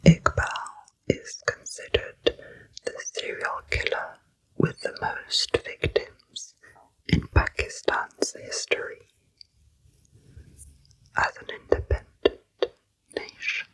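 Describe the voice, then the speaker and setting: A woman whispering a slow true crime story as an ASMR narrator. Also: rough recording. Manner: slow, soft unvoiced whisper throughout.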